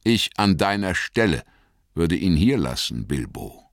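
A man voicing a grave old wizard in an audiobook, stern and patient, with a deliberate, authoritative manner. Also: sits low, very animated, fairly bright tone, no room sound, clean studio sound, voice pushed up, heavily acted. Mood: calm, probing.

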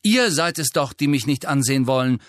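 A man's voice: mid-range voice, a little slow, no echo, clean studio sound, pitched above the performer's own voice. A male narrator playing a moody, irritable teenage boy with a terse, defensive manner in an audiobook. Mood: irritated, defensive.

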